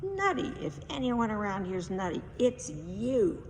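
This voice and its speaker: A woman's voice: mid-range voice, big pitch swings, a little slow, a little mellow. A woman voicing a stubborn, cranky old grandpa in a storybook read-aloud, indignant and emphatic. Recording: distant, echoey hall with faint noise.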